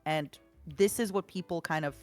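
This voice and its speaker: A woman streamer in casual conversation, encouraging and animated as she tells stories. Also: fairly low pitch, normal speed, faint music behind. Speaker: streamer guest. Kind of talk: casual podcast chat.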